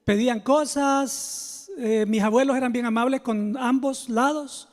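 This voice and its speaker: High-voiced Salvadoran man preaching in a reverberant space. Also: fairly even intonation, a little slow. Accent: Salvadoran Spanish. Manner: informal, confiding, addresses listeners directly. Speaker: pastor, preacher. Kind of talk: conversational sermon with personal storytelling. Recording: long hall reverb.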